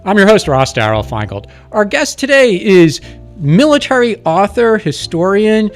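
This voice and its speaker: A man's voice: sits high, big pitch swings, moderate pace, faint music behind. A man hosting a radio interview, chatting casually and backing his guest with frequent short "mm-hmm"s. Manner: friendly, lots of short backchannel "mm-hmm"s. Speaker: host. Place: radio studio.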